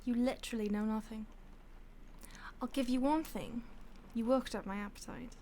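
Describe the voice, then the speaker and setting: A woman voicing a mocking, predatory female vampire stalker who talks down to her victim, soft-spoken and slipping into whispers. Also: a little high, normal speed, slight background noise, close to an everyday voice. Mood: menacing. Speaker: audio roleplay voice actor. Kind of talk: audio roleplay acting.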